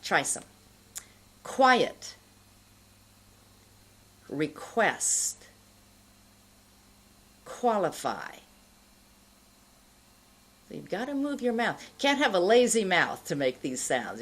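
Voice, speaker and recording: A middle-aged woman speaks as a pronunciation teacher in a low-pitched voice. She takes her time, enunciating each sound with care, and her intonation moves in a lively way as she instructs. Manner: deliberate, careful enunciation with demonstrated sounds. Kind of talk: instructional lesson to camera.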